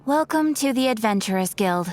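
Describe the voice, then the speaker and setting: A woman's voice: fairly high pitch, animated, moderate pace, darkish tone, faint music behind, lightly acted. A woman voicing a polite, composed guild receptionist in a video game, courteous and formal. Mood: helpful. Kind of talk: video game character acting.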